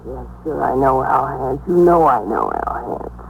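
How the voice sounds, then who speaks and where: A woman's voice: extremely low pitch, lively intonation, measured pace, vocal fry, heavily acted. An actress playing a groggy, indignant young American woman with a whiny, slangy manner in 1950s radio drama style. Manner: whiny, slangy, put-upon. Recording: noisy 1950s radio recording.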